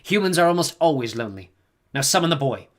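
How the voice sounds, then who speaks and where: A man's voice: on the high side, sing-song, quick pace, pitched above the performer's own voice. A man voicing a stern, commanding old woman who scolds sharply in an audiobook reading. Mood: probing. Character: stern imperious old woman, a reverend mother.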